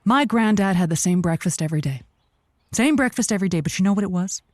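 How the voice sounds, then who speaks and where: A woman playing an earnest, rambling advice host on an improvised comedy call-in, halting and theatrically emphatic. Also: medium pitch, lively intonation, a little mellow, no room sound, clean studio sound, close to an everyday voice. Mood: earnest, persuading.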